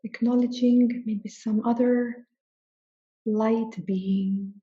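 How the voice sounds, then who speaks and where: A woman guides a meditation as a spiritual teacher. Her voice is soft-edged and mellow, and she speaks extremely slowly, placing deliberate pauses between phrases. The recording is dull and a little muffled. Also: fairly high pitch, steady tone.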